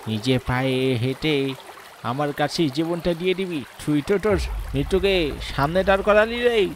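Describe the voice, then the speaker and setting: A man's voice: on the high side, normal speed, slight background noise, natural voice. A man voicing a murderous, taunting swamp ghost that threatens its victim in a horror cartoon. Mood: menacing.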